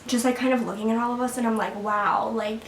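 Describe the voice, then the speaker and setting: A teenage girl with a fairly high voice rambles casually to camera, full of fillers and quick laughs. She sits back from the mic in an echoey room, with a faint rumble underneath. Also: fairly even intonation, distant mic. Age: teens. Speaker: vlogger. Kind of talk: casual sit-down chat to camera. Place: her room at home.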